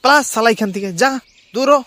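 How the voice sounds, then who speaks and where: Bossy, cursing village headman played by a man, very high cartoon voice, rural West Bengal accent, noisy recording. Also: fast. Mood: furious. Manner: berating, crude, bossy. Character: irate, foul-mouthed male village council chief. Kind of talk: comic cartoon voice acting.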